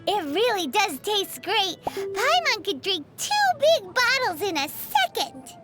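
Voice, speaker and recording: A tiny fairy sidekick from a video game, extremely high and squeaky. Her delivery is perky and childlike, swooping up and down with lively expression, over clearly audible music. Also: slow, exaggerated voice. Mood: playful. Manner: perky, refers to self in third person. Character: tiny childlike female floating fairy companion, chirpy and food-loving. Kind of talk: scripted video game dialogue.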